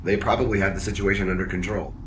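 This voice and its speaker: Low-voiced, stiff, dutiful officer played by a man. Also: a little hiss or hum. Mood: firm, dry. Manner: formal, measured, deadpan. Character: stiff, dutiful male security officer on a spaceship. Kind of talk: scripted audio drama acting.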